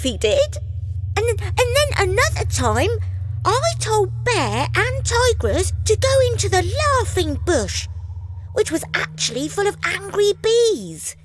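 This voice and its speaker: Storybook monkey played by a woman: very high, squeaky, childlike, mischievous, swooping delivery at an unhurried pace. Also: heavily acted, rough recording. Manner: squeaky, childlike, hesitant boasting. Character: cheeky mischievous young male monkey, self-justifying. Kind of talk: storybook character voice.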